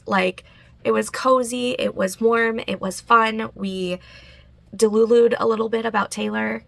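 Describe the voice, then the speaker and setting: A woman's voice: a little high, creaky, fairly bright tone, no room sound. A woman chatting casually in a writing vlog, a bit congested and nasal, with frequent fillers and little laughs. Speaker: writing vlogger.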